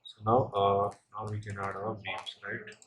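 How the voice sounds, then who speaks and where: Male tutorial presenter, low-pitched and halting, checks in often; slightly noisy recording with typing and clicking. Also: level tone. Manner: halting, with frequent check-in tags. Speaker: software instructor. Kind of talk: step-by-step screen tutorial.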